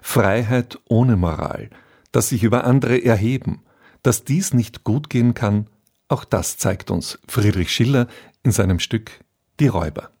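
A man reading a measured scripted introduction to a radio play as its announcer. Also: mid-range voice, a little mellow, slightly rough.

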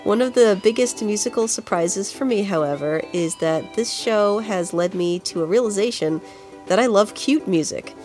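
A woman's voice: medium pitch, lively intonation, normal speed, darkish tone, faint music behind. A woman with a congested, nasal voice co-hosting a podcast in a casual, chatty style. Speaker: podcast co-host. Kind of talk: casual video game music podcast chat.

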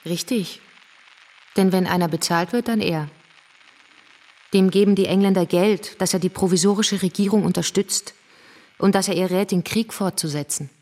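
A woman's voice: on the low side, steady tone, normal speed, pauses often, mellow, natural voice. An actress playing a scornful, argumentative revolutionary woman who lectures bitingly in a German radio drama. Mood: combative. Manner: didactic, biting.

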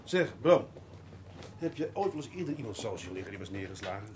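A man acting in a radio drama as a seasoned police detective who lectures his younger colleague in a measured, pedantic way. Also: on the low side, sing-song, background noise. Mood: businesslike.

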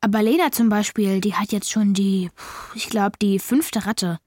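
A woman's voice: medium pitch, moderate pace, darkish tone, dry recording, studio quality, lightly acted. A woman playing a young girl who narrates a children's radio play in a plain-spoken, confiding way. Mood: matter-of-fact. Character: young girl wishing for a pet, matter-of-fact. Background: music.

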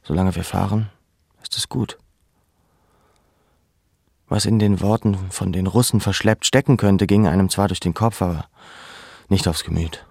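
A man playing a camp survivor who recalls his deportation in measured, sober, reflective first-person narration. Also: sits low, fairly even intonation, vocal fry, darkish tone, breathy edge, no echo, studio quality, full character voice. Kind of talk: literary first-person narration of an audio drama.

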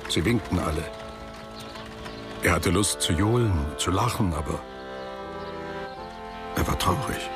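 An actor playing a reflective narrator who tells a literary radio drama in a measured, precise, deliberate way. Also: male voice, low voice, music in the background. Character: reflective male author-narrator recounting a boy's postwar memories.

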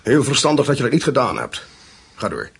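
An actor playing a smug, affectionate criminal mastermind in a radio play, gravelly and suave, patronising in manner. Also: male voice, medium pitch, huge pitch swings, a little fast, exaggerated voice. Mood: fond, brisk.

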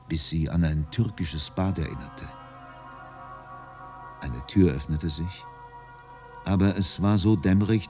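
A man narrating a radio drama from a script in a calm, measured storytelling manner. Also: low voice.

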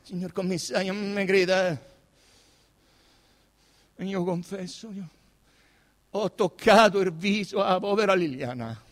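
Man acting flustered young male suspect, Roman accent, high pleading voice, halting, stammering, self-justifying, radio drama. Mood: distressed, pleading. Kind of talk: dramatised radio literary reading.

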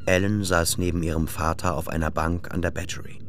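A man's voice: sits low, steady tone, brisk, a bit bright, a little hiss or hum. A man narrating a radio drama in a scripted literary style as its storyteller. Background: music.

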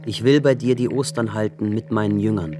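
An actor playing a solemn, prophetic teacher in a German radio drama, with grave, measured declamation. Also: male voice, fairly low pitch, over music, close to an everyday voice. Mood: grave, foreboding.

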